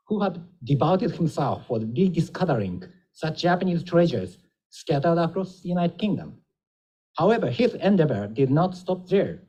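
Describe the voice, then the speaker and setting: A man's voice: a little high, warm tone, husky, rough recording. Man giving a formal introduction. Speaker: embassy minister introducing a lecture. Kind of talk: formal read introduction speech.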